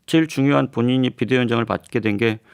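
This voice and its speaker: Measured male professor on studio radio. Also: mid-range voice, creaky, clear, resonant tone, dry recording. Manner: measured, explanatory, with hesitant fillers. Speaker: political science professor guest. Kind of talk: radio current-affairs interview. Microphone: studio microphone.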